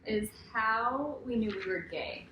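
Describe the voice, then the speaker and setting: A woman's voice: on the high side, animated, unhurried, dull audio. An American woman in her late twenties chatting casually and jokingly as she co-hosts a Q&A. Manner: joking, informal, teasing. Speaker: vlogger, co-host of couple's Q&A. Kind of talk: casual chat, Q&A. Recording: echoey room, faint background noise.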